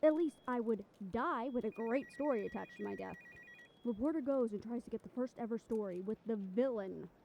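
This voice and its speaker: A woman's voice: a little high, brisk, gravelly, dull audio, a little hiss or hum. A woman playing a brash, stubborn, ambitious young reporter in an improvised audio roleplay, with a sarcastic, cocky, conversational delivery. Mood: defiant, pleading.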